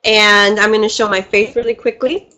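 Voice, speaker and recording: A woman's voice: mid-range voice, fairly even intonation, normal speed, pushed and bright. A woman hosting a live webinar, bubbly and chatty, her voice congested from a cold, laughing often as she greets people. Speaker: webinar host and spiritual teacher. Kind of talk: casual live webinar talk. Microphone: computer mic on a video call.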